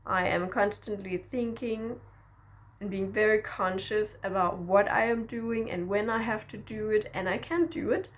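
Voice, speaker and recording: A woman talks slowly and unhurriedly as she teaches self-development to camera, her pitch sitting in the middle range. She is reflective and encouraging, pausing to check in with a gentle questioning tag. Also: fairly even intonation, a little hiss or hum. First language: Danish. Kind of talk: personal teaching monologue to camera.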